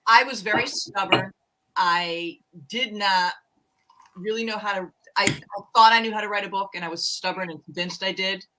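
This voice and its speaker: A woman's voice: medium pitch, normal speed, background noise. A middle-aged woman, a romance author and co-host, chatting candidly and a little self-deprecatingly about writing books. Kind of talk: casual book chat.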